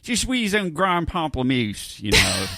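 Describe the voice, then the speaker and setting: Easygoing man co-host, lively voice. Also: fairly high pitch, a little slow, no room sound, studio quality. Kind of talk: casual podcast chat.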